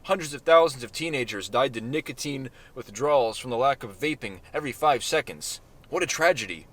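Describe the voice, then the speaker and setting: A man reading his own short story aloud in a deadpan manner, with self-mocking asides. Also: medium pitch, brisk.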